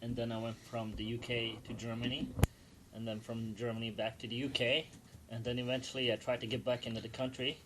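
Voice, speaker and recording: A man's voice: mid-range voice, little pitch movement, fairly quick, heavy background noise. A man co-hosting a podcast, telling stories casually with emphatic, repetitive phrasing. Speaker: podcast co-host. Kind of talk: casual storytelling.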